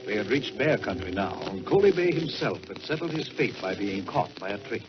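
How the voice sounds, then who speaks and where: An American man narrating in a dramatic 1940s radio style, with measured, theatrical delivery and drawn-out words. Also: fairly low pitch, very animated. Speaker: storyteller and radio host. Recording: dull vintage 1940s radio, music behind.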